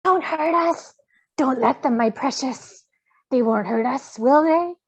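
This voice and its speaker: A woman's voice: high-pitched, a little mellow, slightly rough. A woman voicing a small, wretched, cunning creature with a whiny, hissing voice and broken grammar, at a fantasy script table read. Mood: fawning, wretched. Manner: slow, fawning, broken grammar.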